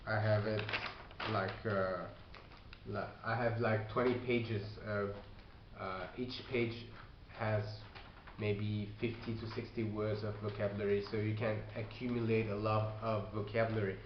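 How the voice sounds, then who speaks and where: Halting non-native man in echoey room. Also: fairly low pitch, level tone. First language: not English. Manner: halting, many fillers and restarts. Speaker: language learner giving advice. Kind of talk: casual video response. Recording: echoey room, dull lo-fi sound, faint hiss.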